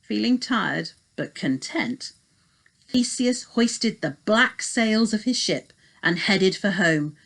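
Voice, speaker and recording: A woman reading a children's story as scripted narration, dramatic and deliberate. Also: medium pitch, lively intonation, creaky, fairly bright tone.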